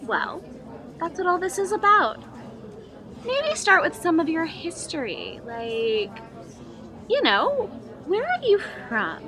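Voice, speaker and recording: A woman's voice: very high voice, huge pitch swings, slow, long pauses, crowd noise, heavily acted. A woman playing a scheming young student in an audio drama, sugary and coaxing one moment and snide the next. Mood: feigned sweetness. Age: young adult. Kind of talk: acted audio drama dialogue.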